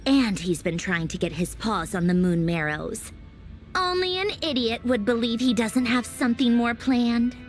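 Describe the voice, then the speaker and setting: A woman's voice: on the high side, animated, moderate pace, faint music behind, natural voice. A woman voicing a brash, spirited young fighter in a video game, blunt, breezy and sarcastic. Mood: sardonic, blunt.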